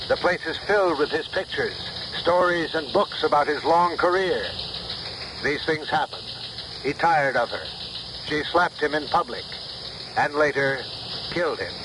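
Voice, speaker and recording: An actor playing a grave newsreader in a 1970s radio drama, declaiming a scandal in measured dramatic phrases. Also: male voice, a little high, animated. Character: newsreader gravely announcing a scandalous society murder. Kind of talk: 1970s radio drama acting, news bulletin delivery. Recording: noisy 1970s radio broadcast recording.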